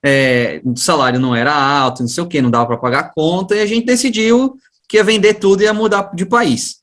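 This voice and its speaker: A man's voice: a little high, steady tone, fairly quick, fairly bright tone. A man with a São Paulo-area Brazilian accent, an interview guest telling his own story in a casual, slangy, chatty way. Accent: Brazilian, São Paulo region. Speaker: guest, science teacher and education researcher.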